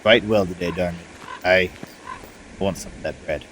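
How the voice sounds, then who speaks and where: A man playing a gruff, battle-worn dwarf commander who banters folksily in a fantasy audio drama. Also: low voice, moderate pace, a bit bright, slight background noise. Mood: bantering. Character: gruff battle-scarred dwarf commander, shaggy and friendly to recruits.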